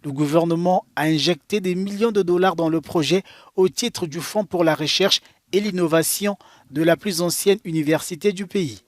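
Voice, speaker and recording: A man reading a news report as voice-over in a measured way. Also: high voice, no room sound, clean studio sound. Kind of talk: voice-over narration.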